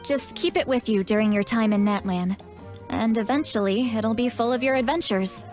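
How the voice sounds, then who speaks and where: High-voiced, earnest young woman, acted. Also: moderate pace, a little mellow, natural voice. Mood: explaining. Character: earnest, hopeful young woman in a fantasy game. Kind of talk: scripted video game voice acting. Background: background music.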